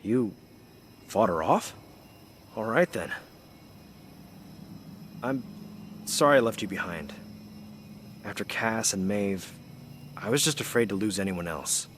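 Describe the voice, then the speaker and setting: A loyal, battle-weary soldier in a video game, guiding a newcomer like a mentor. His voice is fairly low, with guilt under the warmth, and clear ambient noise sits behind it. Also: animated, slow pace, long pauses. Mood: startled, then regretful, grateful. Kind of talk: video game dramatic dialogue.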